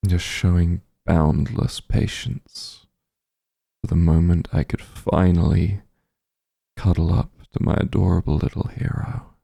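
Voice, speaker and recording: A man plays a softly dominant demon lord in a comforting ASMR roleplay. His voice is low and extremely dark, with heavy husky breathiness. He coaxes and dotes possessively, tender and unhurried, right up at the mic. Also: no room sound, heavily acted. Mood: adoring, possessive. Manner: tender, coaxing, teasing, hushed. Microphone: close microphone, dry.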